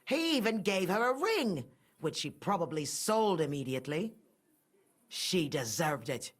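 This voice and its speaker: A middle-aged religious fanatic in a medieval video game. She is haughty and sanctimonious, sneering with defiance. Her pitch swings widely as she condemns without remorse. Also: big pitch swings, unhurried, slightly rough, full character voice. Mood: cold contempt. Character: pious, murderous mother, haughty, fanatical and unrepentant. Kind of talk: dramatic video game acting.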